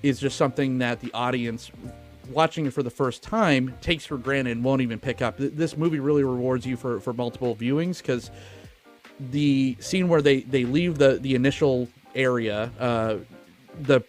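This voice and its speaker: A man's voice: mid-range voice, normal speed, faint music behind. Chatty man co-hosting a film podcast. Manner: chatty, sweary, enthusiastic. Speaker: podcast co-host. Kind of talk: casual film discussion.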